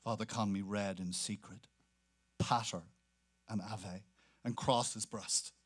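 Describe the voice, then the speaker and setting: A man's voice: fairly low pitch, steady tone, takes it slowly, husky. A man giving a dramatic reading of literary prose as narration. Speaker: actor reading aloud.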